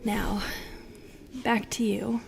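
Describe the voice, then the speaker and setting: Slow, breathy, brooding Medusa played by a woman. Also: medium pitch, level tone, slight background noise, heavily acted. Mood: euphoric, possessive. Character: bitter, seductive, lonely female Medusa who turns people to stone. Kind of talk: intimate audio roleplay acting.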